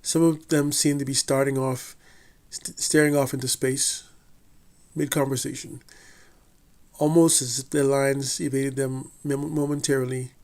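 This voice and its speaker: Measured man reading a TV review. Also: mid-range voice, little pitch movement, darkish tone. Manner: measured, deliberate delivery with occasional slips and restarts. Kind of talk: scripted review read aloud.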